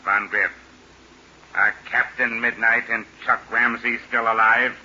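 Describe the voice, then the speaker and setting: Forceful, menacing radio villain. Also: male voice, medium pitch, little pitch movement, measured pace. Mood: indignant, wrathful. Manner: formal, theatrical, menacing. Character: scheming villain crime boss, menacing father, cold and wrathful. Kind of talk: 1940s radio serial acting. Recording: muffled, noisy 1940s radio recording.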